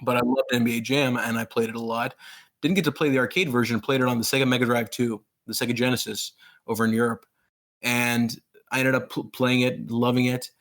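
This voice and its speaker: A man raised in Pakistan, in his thirties, chatting as a guest author in an interview. He rambles with plain enthusiasm, full of "you know" and false starts. His voice sits at an ordinary middle pitch for a man. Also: little pitch movement, fairly quick, fairly bright tone. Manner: rambling, enthusiastic, many fillers and restarts. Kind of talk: casual interview chat.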